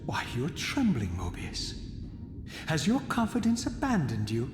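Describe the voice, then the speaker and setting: An actor playing a vengeful, scornful wraith assassin in a video game, speaking with formal, taunting menace. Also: male voice, a little high, huge pitch swings, unhurried. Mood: menacing, taunting. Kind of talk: theatrical game cutscene acting. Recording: echoey hall reverb, music underneath. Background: game score.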